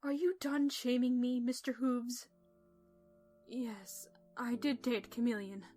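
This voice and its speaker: A woman's voice: a little high, steady tone, slow pace, mellow, soft background music. A woman playing an earnest, protective mother pony in an emotional audio drama, speaking confessionally. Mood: ashamed, confessing.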